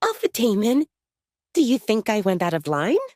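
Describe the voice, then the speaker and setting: A woman's voice: fairly high pitch, huge pitch swings, moderate pace, darkish tone, no echo, studio quality, pitched above the performer's own voice. A woman voicing a sly young female werewolf rival in a sugary, indignant manner for an audio drama. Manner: sugary, wheedling.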